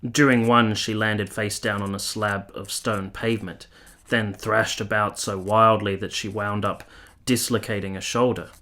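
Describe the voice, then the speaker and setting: An actor playing an earnest, tormented soldier of 1870s Italy in a radio drama, speaking in a formal, measured, literary manner. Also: male voice, fairly low pitch, little pitch movement, sharp tone. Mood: solemn, resolute. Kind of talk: dramatic radio play narration and dialogue.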